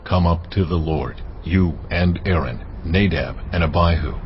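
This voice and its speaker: A man voicing God in a dramatized scripture reading, speaking in a measured, commanding way. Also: deep voice. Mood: solemn. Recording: dull lo-fi audio, faint music.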